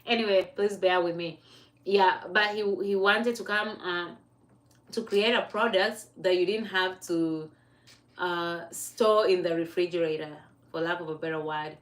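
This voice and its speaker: A Kenyan woman hosting a relaxed livestream, speaking to her viewers in a chatty, encouraging way. She laughs often and stops to fix her wording as she goes. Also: mid-range voice. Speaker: livestream host. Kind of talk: casual motivational livestream.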